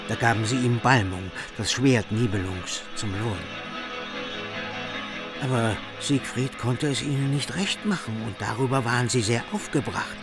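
An actor playing a grave veteran vassal with measured, weighty delivery in a German radio drama of a heroic epic. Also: male voice, mid-range voice, lightly acted. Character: grave veteran vassal recounting a hero's feats.

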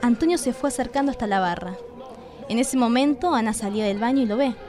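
A woman's voice: on the high side, fast talker, over music. A woman with an Argentine accent reading scripted narration for a radio drama.

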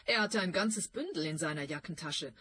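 Poised lady suspect, radio crime comedy. Husky timbre, arch and superior delivery. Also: lowish voice, expressive, brisk, pushed and bright, heavily acted. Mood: amused, defiant. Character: poised, haughty businesswoman suspect, coolly amused.

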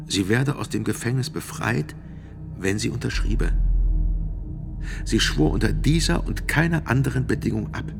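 An actor playing a sober physician who gives measured, precise testimony as a witness in a radio drama. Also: male voice, mid-range voice, gravelly, over music, lightly acted. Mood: sober, grave.

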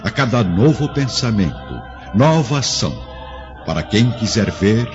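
A male narrator with a very deep voice tells a devotional radio drama, speaking very slowly and solemnly. A clear orchestral score plays under him, and the sound is slightly muffled. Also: big pitch swings, music in the background.

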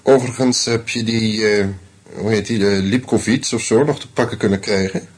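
A cool, guarded young actor. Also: male voice, fairly low pitch, normal speed, breathy edge. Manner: terse, guarded, clipped. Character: young actor under suspicion, coolly defiant. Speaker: Jules. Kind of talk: radio drama acting.

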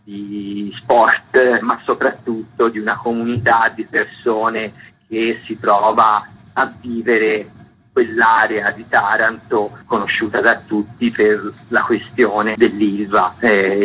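Male festival director, lowish voice, explanatory interview delivery, faintly noisy radio recording. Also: sharp tone. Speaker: artistic director of an arts festival, interview guest.

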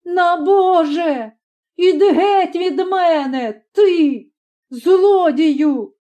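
A shrill, high old peasant mother, stingy and quick to scold, shouting at her grown sons and husband in a storybook reading, as a woman reader puts her on. Her voice is mellow at the base but climbs high whenever she rages. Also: muffled recording. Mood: furious.

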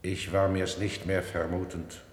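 An actor playing a stern, implacable old cardinal inquisitor, solemn and measured, in a classical radio drama. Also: male voice, sits low, little pitch movement. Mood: cold, solemn. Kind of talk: classical 1950s German stage-style radio acting. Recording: echoing hall with long reverb.

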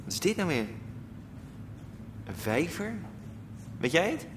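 An actor playing an awestruck, cheeky hippie squatter in a Dutch radio drama, laid-back and marvelling. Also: male voice, a little high, very animated, slow pace, background noise. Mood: amazed, cheeky.

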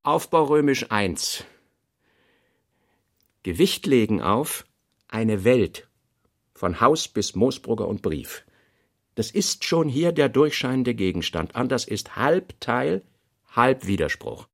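An actor playing an analytical author reading out notes in a literary radio play, in emphatic, clipped phrases. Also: male voice, mid-range voice, big pitch swings, slow, vocal fry, pauses often, exaggerated voice.

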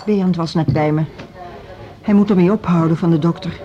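An actress playing a gentle, courteous woman who runs a music school and is concerned for her staff, in a radio drama. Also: fairly low pitch, a little fast, natural voice. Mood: concerned, searching. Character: Gerda, sympathetic adult woman running a music school. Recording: dull, lo-fi radio play recording.

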